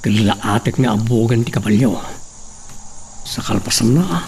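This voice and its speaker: An actor playing a vengeful, scheming villain who broods aloud in a melodramatic radio drama. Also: male voice, lowish voice, expressive, unhurried, darkish tone, slight background noise. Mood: muttering, watchful. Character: scheming, vengeful male villain plotting murder and takeover.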